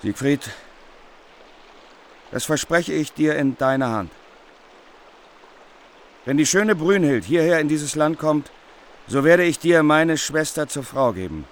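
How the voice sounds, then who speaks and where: A man's voice: on the high side, animated, slow pace, pauses often, a little hiss or hum. An actor playing an earnest, noble king in a German radio drama. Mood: solemn, promising. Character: noble king courting a distant queen, earnest. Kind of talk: German radio play acting.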